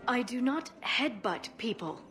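An actress playing a prim, earnest alien information broker, precise and easily exasperated, in a video game. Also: female voice, a little high, animated, unhurried, over music. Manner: precise, indignant, reserved.

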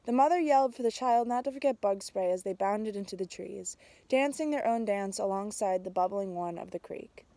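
A young adult woman reading a picture book aloud outdoors, with a measured, expressive storytelling delivery. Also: medium pitch, dry recording. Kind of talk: storybook read-aloud, narrated as a tree. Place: outdoors in snowy winter woods.

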